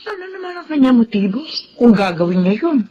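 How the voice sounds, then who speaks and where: A Filipino actress playing a gentle, concerned wife in a radio drama. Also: female voice, fairly high pitch, sing-song, fast, slight background noise. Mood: concerned, reflective. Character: concerned, trusting wife, gentle with her husband. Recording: dull lo-fi radio sound.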